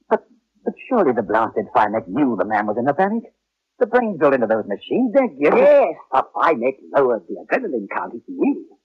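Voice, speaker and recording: A man playing an anguished human surgeon in an old-time radio drama, halting and overwrought, repeating his phrases. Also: medium pitch, slightly rough. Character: anguished human surgeon obsessed with discrediting robot doctors. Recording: dull, lo-fi old radio recording.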